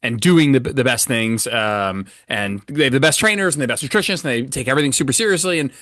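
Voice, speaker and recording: A man hosting a podcast, asking questions in a loose, animated interview style with casual swearing. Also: mid-range voice, fast, dry recording, studio quality. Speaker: podcast host.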